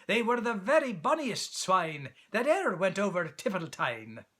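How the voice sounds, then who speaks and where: A man's voice: sits high, very animated, measured pace, pushed and bright, heavily acted. A man voicing a cheeky, impertinent young squirrel from a storybook, chanting taunting rhymes in a sing-song way. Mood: playful, singing.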